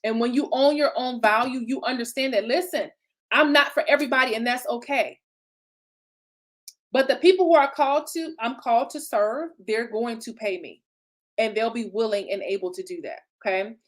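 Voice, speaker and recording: A woman in her thirties, raised in Illinois, speaks with a Chicago accent touched by a little Southern twang, her voice sitting a bit on the high side. She coaches in a chatty, upbeat way, laughing often and checking in with her listeners with "right?" and "okay?". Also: normal speed. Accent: Chicago with a slight Southern twang. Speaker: business coach. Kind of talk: motivational solo talk.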